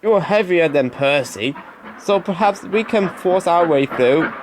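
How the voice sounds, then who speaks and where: A man's voice: a little high, expressive, a little slow, background noise, pitched above the performer's own voice. A man voicing a practical male engine driver in a children's storybook, down-to-earth and exclamatory. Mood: reassuring.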